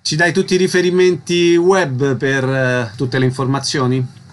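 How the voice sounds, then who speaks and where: A man's voice: mid-range voice, little pitch movement, moderate pace. A man presenting cultural events and interviewing guests as a radio host.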